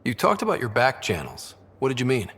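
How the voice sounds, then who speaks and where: Young male expedition leader, game dialogue: husky with some fry, animated, inquisitive, dryly teasing. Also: mid-range voice, brisk, exaggerated voice. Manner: conversational, inquisitive, dry. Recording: long reverb.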